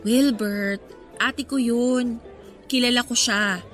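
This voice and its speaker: An actress playing a good-natured young college woman with a Filipino accent, gently scolding and playful, in Tagalog radio drama style. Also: fairly high pitch, steady tone, slow. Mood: gently defensive. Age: young adult. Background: background music.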